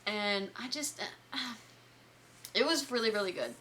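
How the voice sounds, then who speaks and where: Woman, light Southern US accent, somewhat high voice, bright forward tone, chatty enthusiastic book talk. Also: lively intonation, a little slow. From Southern United States. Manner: chatty, enthusiastic, self-interrupting. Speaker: book podcast co-host. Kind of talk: casual chat.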